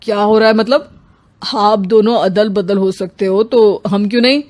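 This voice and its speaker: A woman's voice: mid-range voice, fairly even intonation, moderate pace, a little mellow, lightly acted. A woman voicing an exasperated young husband who complains and questions in a cartoon family comedy. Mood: indignant. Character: exasperated young husband, aggrieved grown son.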